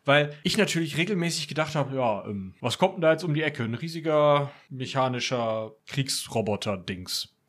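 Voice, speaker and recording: A man's voice: mid-range voice, animated, moderate pace, fairly bright tone. A man chatting as an author guest on a podcast in a colloquial, jokey way. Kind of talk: casual interview chat.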